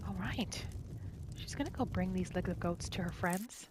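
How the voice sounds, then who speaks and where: A woman hosting a relaxing game roleplay, narrating gently and unhurriedly as she describes her character in the third person. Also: lowish voice, moderate pace, noisy background. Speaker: gaming channel host. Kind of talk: soft ASMR gameplay narration. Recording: loud game ambience underneath.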